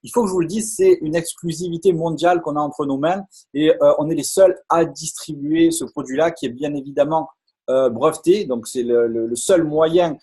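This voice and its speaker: Guy hyping a product on a webinar, bit husky, kinda high voice, lots of euh and restarts. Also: normal speed, dark tone. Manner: enthusiastic salesy, many hesitations and repeated words. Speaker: online sales presenter. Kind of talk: live webinar sales pitch.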